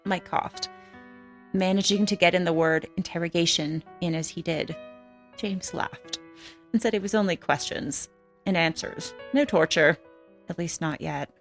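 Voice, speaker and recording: A woman's voice: fairly low pitch, unhurried. An actress playing a hard-boiled female ex-trafficker, narrating her own story in a frank, crude, wry way for a film. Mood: confiding, wry. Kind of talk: first-person film narration. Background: music.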